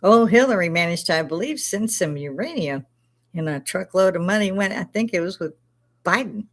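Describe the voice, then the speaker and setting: A woman's voice: on the low side, expressive, moderate pace. An older woman from Chicago with a Chicago accent, hosting a live stream and telling rambling, laugh-filled stories with plenty of swearing. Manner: laughs often, swears freely, rambling anecdotes. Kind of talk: casual storytelling chat.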